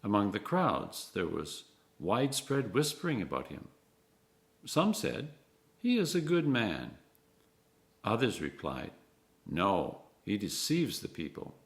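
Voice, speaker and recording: A clergyman reading scripture aloud in an expressive, measured way, phrase by phrase, with emphatic stresses. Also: lowish voice, gravelly.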